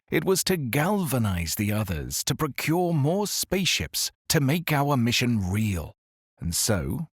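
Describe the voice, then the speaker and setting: An actor playing an exiled male doctor who speaks in a calm, grave, formal monologue in a scripted audio drama. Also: mid-range voice, dry recording, studio quality. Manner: measured, formal confessional address. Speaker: narrator character.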